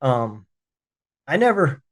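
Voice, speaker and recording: A man hosting a podcast, a veteran talking in casual interview chat, halting with frequent restarts. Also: mid-range voice, big pitch swings.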